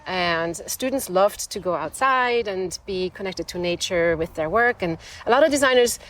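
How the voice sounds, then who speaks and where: A woman's voice: on the low side, lively intonation, normal speed, fairly bright tone. Enthusiastic woman from Switzerland. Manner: enthusiastic, storytelling. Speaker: design professor, guest. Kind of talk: conversational interview. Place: outdoors in a desert botanical garden.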